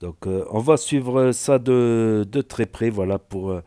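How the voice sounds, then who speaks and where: A man's voice: medium pitch, takes it slowly, mellow. Rambling man, southwestern French accent. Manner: rambling, filler-heavy, many "voilà" and "euh". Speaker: YouTube news commentator. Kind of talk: casual reaction commentary.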